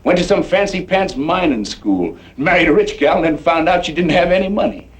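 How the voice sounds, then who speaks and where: An actor playing a swaggering, mocking Western gunslinger with a folksy drawl, in the style of old-time radio drama. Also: male voice, fairly high pitch, lively intonation, a little fast, close to an everyday voice. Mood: sly. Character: swaggering, taunting Western gunslinger drifter who sings.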